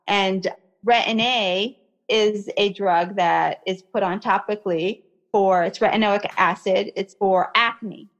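A woman's voice: medium pitch, steady tone, slow pace, highs cut off. A woman raised in America by Iraqi parents, explaining skin care in a chatty interview and often checking in with "okay?" and "you know". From Iraqi family, raised in America. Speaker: skincare expert guest.

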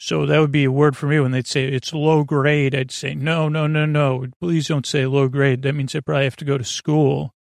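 A man hosting a sleep podcast in a rambling, halting, self-deprecating bedtime monologue full of tangents, with a creaky voice close to the mic. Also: no echo. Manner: self-deprecating, low-key.

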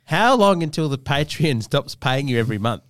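A man's voice: fairly high pitch, very animated, brisk, dry recording, clean studio sound. A man hosting a podcast in casual, comic banter. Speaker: podcast host.

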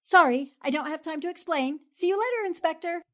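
A woman's voice: extremely high pitch, animated, fairly quick, a bit bright. A woman playing a plucky, wisecracking young newspaperwoman sleuth in a 1940s-style radio drama, with a flippant, arch way of talking. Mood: brisk, breezy. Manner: talks to herself, flippant, arch.

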